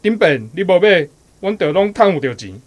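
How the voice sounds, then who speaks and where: An actor with a Taiwanese accent playing an excitable village uncle in a radio drama. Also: male voice, high-pitched, normal speed. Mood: blaming.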